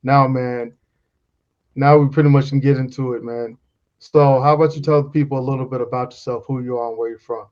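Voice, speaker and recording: A man's voice: medium pitch, fairly even intonation, fairly quick. A young man hosting an interview, talking in a casual, slangy, upbeat way. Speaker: interview host. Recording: dull lo-fi sound.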